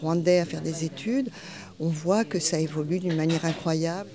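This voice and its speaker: A woman reflecting in an interview, measured and pausing to find her words. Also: low voice, crowd noise.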